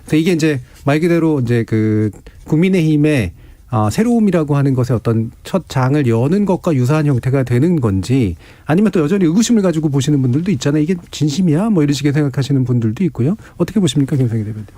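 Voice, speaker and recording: A man's voice: on the high side, lively intonation, fast talker, mellow, dry recording. A male host moderating a radio debate in a studio, setting up questions and handing the floor to guests.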